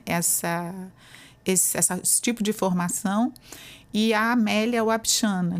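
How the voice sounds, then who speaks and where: A woman professor with a Brazilian Portuguese accent, answering interview questions in an explanatory, conversational way, often adding "né". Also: fairly low pitch. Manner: explanatory, uses many fillers like "né". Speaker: university professor, guest.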